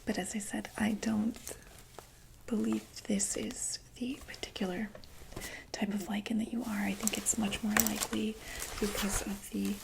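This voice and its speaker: A woman playing a reassuring female doctor for monsters in a whispered ASMR roleplay, explaining gently and hesitantly in a breathy voice. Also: medium pitch, flat delivery, moderate pace, background noise. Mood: explaining calmly.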